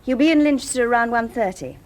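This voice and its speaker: A woman's voice: on the high side, lively intonation, quick pace, darkish tone, natural voice. A British woman acting an inquisitive, sceptical secretary to an investigator in a radio drama, conversational and questioning. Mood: brisk. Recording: noisy vintage radio drama recording.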